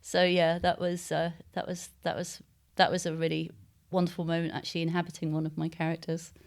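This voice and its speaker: Softly even-toned woman, low and hesitant. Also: fairly quick, creaky. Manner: hesitant, thoughtful, searching for words. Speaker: interviewed novelist. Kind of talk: conversational literary interview.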